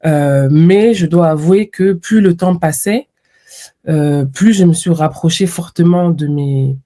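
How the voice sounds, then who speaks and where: Mellow-voiced woman guest, reflective. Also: on the low side, a little slow. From France. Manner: reflective, with lively quoted asides. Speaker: podcast guest. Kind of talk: conversational interview.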